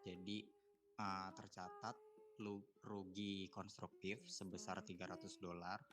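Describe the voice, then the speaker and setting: A man giving a student presentation in a halting, hesitant way. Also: deep voice, level tone. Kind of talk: presentation explaining accounting slides. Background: guitar music.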